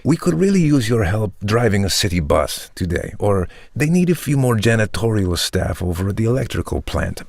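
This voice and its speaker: An actor playing a charismatic, ambitious vampire tech entrepreneur, delivering a measured, pompous and persuasive monologue like a polished pitch. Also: male voice, vocal fry, no room sound, heavily acted.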